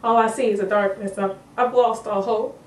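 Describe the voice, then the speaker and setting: A woman's voice: a little high, fairly even intonation, normal speed, natural voice. Despairing, worn-down farmer for a mock news interview, played by a woman; distant, noisy recording. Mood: weary, hopeless. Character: despairing Dust Bowl farmer Billy, weary and worn down. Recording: echoey room, distant mic, background noise.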